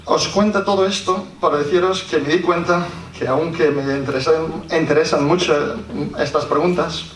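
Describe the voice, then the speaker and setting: Scottish man, non-native speaker, careful reading with restarts, fairly high voice, echoey hall, distant mic. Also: a bit bright. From Scotland. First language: English. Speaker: conference speaker. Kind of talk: reading a prepared talk. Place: public hall. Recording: roomy hall, distant mic, faint noise.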